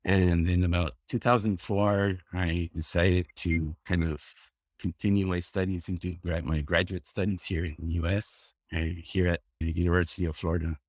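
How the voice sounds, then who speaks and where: A man's voice: low-pitched, darkish tone, no echo, studio quality. A man from Rica, a guest scientist talking casually in an interview, hesitant with many fillers and restarts. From Costa Rica. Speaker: guest plant ecologist.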